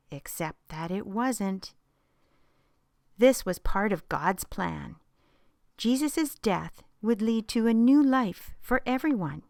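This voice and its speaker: A woman reading a Bible story aloud for children, telling it expressively and dropping to a hushed whisper at dramatic moments. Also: medium pitch, dry recording, clean studio sound.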